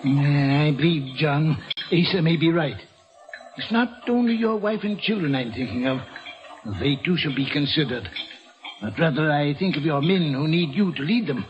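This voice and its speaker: An actor playing a weary, sharp-minded old colonial patriot who speaks in a measured, deliberate way in an old-time radio drama. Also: male voice, a little high, animated, muffled recording, close to an everyday voice. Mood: grave counsel. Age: older.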